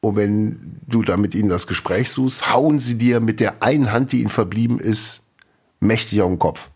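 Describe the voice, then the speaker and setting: A man co-hosting a podcast, relaxed and chatty, telling a folk horror story with lots of fillers. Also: on the low side, moderate pace, husky. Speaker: podcast co-host. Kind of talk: casual chat.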